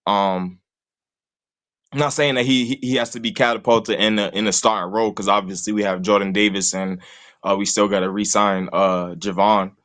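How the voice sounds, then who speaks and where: A man's voice: on the low side, fairly even intonation, normal speed, a bit bright. A man, talking sports casually and rambling, leaning on "you know what I'm saying" all the time. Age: 20s. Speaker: call-in guest host.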